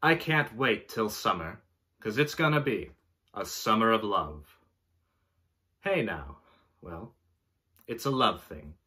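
A man in his twenties giving a mock-dramatic poetry reading in a pompous, deliberate way, recorded in a bedroom a short distance from the mic. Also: fairly low pitch, lively intonation, bright, forceful tone. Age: 20s. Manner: theatrical, deliberate, pompous delivery. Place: small bedroom, indoors.

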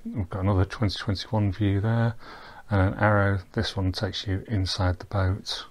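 A man giving a relaxed, rambling voice-over walkthrough of a virtual boat tour, with frequent ums and restarts. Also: lowish voice, moderate pace. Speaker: tour guide. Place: recorded while wearing VR goggles, not on the boat.

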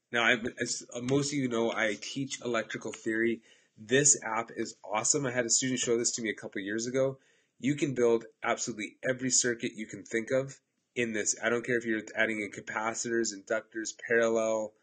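A man's voice: mid-range voice, fairly bright tone. A man in his forties with a stuffed-up cold voice, enthusiastically explaining apps for electricians in a casual webcam talk from his home office. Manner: upbeat, enthusiastic, chatty. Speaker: electrical instructor. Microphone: laptop webcam at desk.

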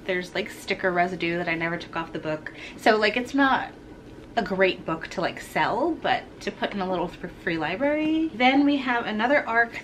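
A woman's voice: medium pitch, fairly bright tone, slight background noise. A young woman chatting frankly about books she is giving away, a little congested with a slightly sore throat, recorded in a home room. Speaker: book vlogger. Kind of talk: casual book unhaul chat.

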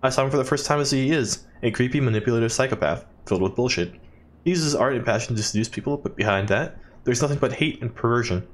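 Expressive man reading game text aloud. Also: medium pitch, quick pace. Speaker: let's player.

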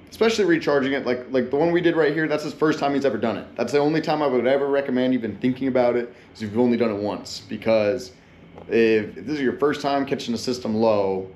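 A man's voice: mid-range voice, darkish tone, slight background noise. A young man, an HVAC technician, explaining casually in a rambling way with many fillers and restarts. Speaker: HVAC technician and channel host. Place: backyard beside an AC unit, later a small office.

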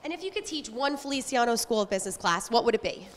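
A woman's voice: on the high side, expressive, fast talker. A young adult woman interviewer asking prepared questions. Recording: audible ambient building noise.

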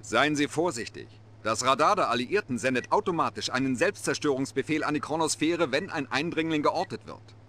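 A man's voice: medium pitch, animated, brisk, few pauses, bright, forceful tone. An actor playing a middle-aged, stern and dutiful Soviet military officer briefing his leader in a video game cutscene, formal and earnest. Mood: urgent, formal. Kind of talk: video game cutscene acting, German dub.

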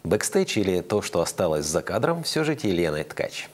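A man's voice: sits low, very animated, a little fast. A young man reading a scripted news introduction as an anchor in a TV studio.

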